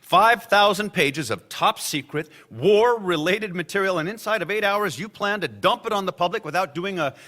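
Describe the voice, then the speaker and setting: An actor playing a cautious lawyer in a radio drama, arguing his legal points in a measured way. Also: sits high, animated, bright, forceful tone. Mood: persuasive. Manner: argumentative, lays out legal points.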